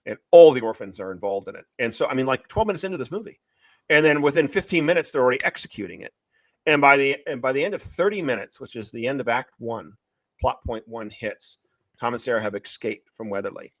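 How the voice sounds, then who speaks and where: A man talking as an interviewed author and screenwriter, retelling a story in an excitable, emphatic, repetitive way. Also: mid-range voice, quick pace, dry recording. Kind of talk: animated promotional interview talk, retelling a story.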